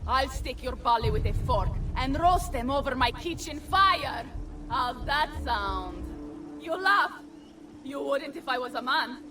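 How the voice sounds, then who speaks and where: A woman's voice: high-pitched, animated, unhurried, recorded from a distance, over music, rough recording. An actress playing a fierce, brash Italian noblewoman in a video game, taunting and swearing with an Italian accent. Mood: defiant taunting. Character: fierce, foul-mouthed Italian noblewoman and defiant mother. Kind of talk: video game cutscene acting.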